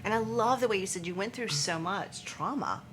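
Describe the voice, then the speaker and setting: A woman's voice: mid-range voice, normal speed, fairly bright tone, a little hiss or hum. A woman hosting a talk show, interviewing in an effusive, emphatic, gushing way.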